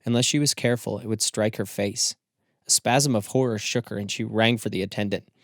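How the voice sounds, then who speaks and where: A man narrating a literary story as an audiobook, in a measured, formal storytelling manner. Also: fairly low pitch, fairly even intonation, dry recording, studio quality.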